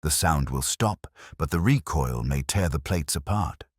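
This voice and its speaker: A man narrating a story as a weary, fatalistic old inventor who speaks gravely and formally. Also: extremely low pitch, animated, unhurried, dry recording, clean studio sound, exaggerated voice.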